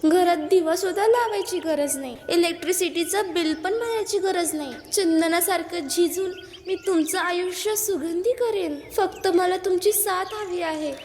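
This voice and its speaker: A woman's voice: very high voice, moderate pace, a little mellow, slight background noise. A woman playing a doting, overly devoted newlywed wife in a comic skit, speaking in sugary, melodramatic phrases. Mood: syrupy. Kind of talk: comic stage skit acting. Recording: long hall reverb.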